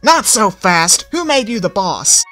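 A man's voice: high-pitched, very animated, unhurried, bright, forceful tone, faint music behind, voice pushed up, full character voice. A man voicing a cocky, sly young male griffin with a competitive edge.

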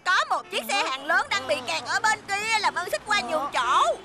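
An eager young delivery van. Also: female voice, very high voice, lively intonation, moderate pace, heavy background noise. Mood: urgent. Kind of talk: Vietnamese cartoon dub.

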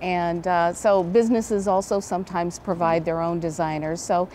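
A middle-aged American woman speaks in a slightly low voice, explaining things conversationally as an interview guest. She is on a lapel mic in a large indoor space, with some ambient noise behind her. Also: steady tone, normal speed, darkish tone. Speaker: event director, interview guest. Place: large indoor event hall.

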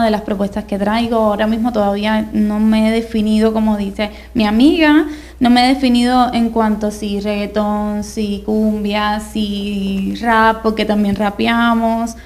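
A woman's voice: medium pitch, fairly even intonation, moderate pace, darkish tone. A young woman from Cuba, a singer, chatting in a casual TV studio interview with a handheld mic, bubbly and giggly. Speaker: guest singer. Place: TV studio set.

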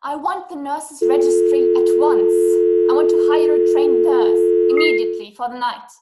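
A woman's voice: high voice, moderate pace, lightly acted. A young woman playing an anxious, self-pitying, imperious invalid wife in a drama performed over video call. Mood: demanding, upset. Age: young adult. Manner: agitated, imperious, complaining. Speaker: actress. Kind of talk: stage acting in a radio-play adaptation. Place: small room indoors. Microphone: webcam video call. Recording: roomy laptop video-call sound.

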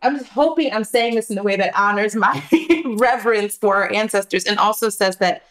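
Woman, mid-pitched voice, dramaturg on a discussion panel, emphatic and rhetorical. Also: fairly quick, a bit bright. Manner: emphatic, rhetorical, often checking with "right?".

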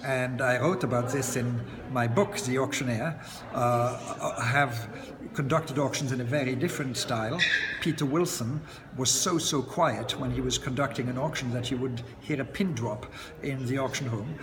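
A man in his sixties, an auctioneer, answering interview questions in a relaxed, chatty way with frequent "uh" pauses and light chuckles, filmed up close in an indoor lounge by large windows. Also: medium pitch, noisy background. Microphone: camera close to his face. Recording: long echo, loud crowd chatter behind.

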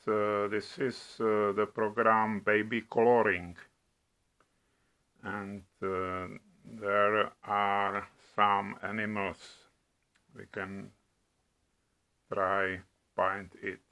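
A middle-aged man from the Czech Republic with a Czech accent, demonstrating products at home in a slow, halting way with many hesitations. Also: on the low side, creaky, bright, forceful tone. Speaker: product reviewer. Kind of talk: casual product demo. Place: home room at a table.

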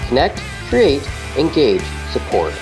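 Male announcer, lively inflection, scripted sponsor reads over a guitar bed. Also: medium pitch, unhurried.

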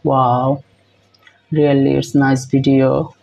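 A young man hosting a reaction video in halting, repetitive English with many fillers, filmed close on a phone in a small room. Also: mid-range voice, monotone, vocal fry, darkish tone, low-quality recording. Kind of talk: casual reaction commentary.

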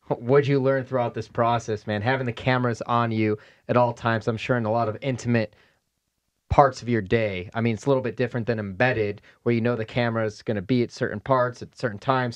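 A man's voice: medium pitch, fairly even intonation, brisk. A young man hosting a podcast in a studio, asking guests chatty questions. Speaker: podcast host. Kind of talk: casual interview. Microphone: studio mic on boom arm, headphones.

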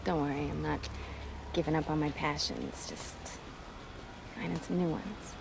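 A woman's voice: low voice, steady tone, measured pace, creaky, background noise, exaggerated voice. A young woman with an American accent acting a tough, sardonic vigilante with a dry, brash manner in a video game. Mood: wry. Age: young adult.

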